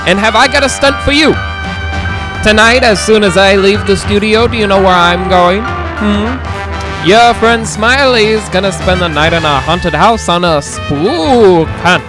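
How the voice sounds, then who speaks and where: A man's voice: high-pitched, low-quality recording. A man playing a chummy, showy 1940s radio disc jockey with teasing, chatty patter in an audio drama.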